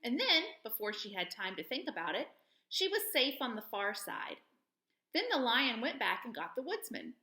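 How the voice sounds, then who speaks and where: A woman reading a children's story aloud as a storyteller, with expressive delivery. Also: fairly high pitch, moderate pace. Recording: echoey room.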